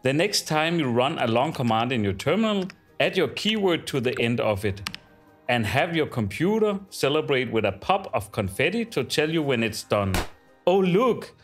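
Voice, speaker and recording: A man, a technical community manager, giving a playful, upbeat scripted software tip. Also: medium pitch, sing-song, moderate pace, soft background music.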